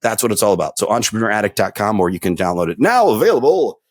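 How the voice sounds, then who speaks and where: A man talking on a podcast, his pitch rising and falling a lot as he spins a long story. He tells it casually, with plenty of fillers and restarts. The sound is dry and close, with no room echo. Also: fast talker. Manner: storytelling, animated, many fillers.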